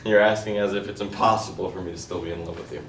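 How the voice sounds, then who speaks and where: A man's voice: low-pitched, fairly even intonation, quick pace, fairly bright tone, a little hiss or hum. A young man acting in a stage play as James, a partner caught off guard, stammering through defensive questions, heard from far off in an echoing room. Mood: bewildered. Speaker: student actor. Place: school stage. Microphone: distant audience camera. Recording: echoey stage recorded from far off.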